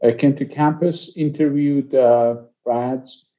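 A man in his sixties from Iran with an Iranian accent, speaking conversationally as an interview guest, with halting phrases and frequent pauses. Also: mid-range voice, steady tone. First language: Persian. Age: 60s. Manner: halting, pausing often mid-phrase. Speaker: interview guest, company executive. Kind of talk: conversational life-story interview. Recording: slightly dull, lo-fi audio.

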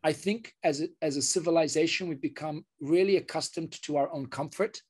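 Earnest man speaking in halting restarts. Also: on the high side, darkish tone, slightly rough. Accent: British. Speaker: guest, surgeon. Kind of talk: interview conversation.

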